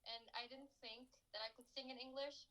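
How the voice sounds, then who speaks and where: A woman's voice: sits high, steady tone, a little fast, bright, forceful tone, rough recording. A young woman from Pakistan, a singer-songwriter telling stories as a guest in a casual interview, full of fillers. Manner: rambling storytelling with frequent fillers.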